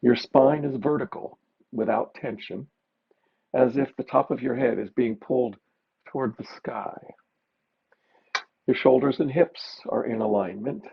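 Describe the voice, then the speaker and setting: A man teaching meditation, giving gentle, unhurried guided mindfulness instructions with pauses. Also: medium pitch, fairly even intonation, vocal fry, slightly rough. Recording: dull, muffled lo-fi recording.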